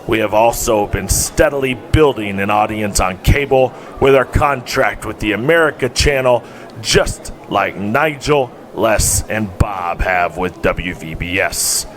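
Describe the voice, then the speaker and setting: An actor playing an older, proud wrestling promoter who makes measured, formal speeches in an audio drama. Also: male voice, lowish voice, fairly bright tone, faint music behind. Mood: proud, assured.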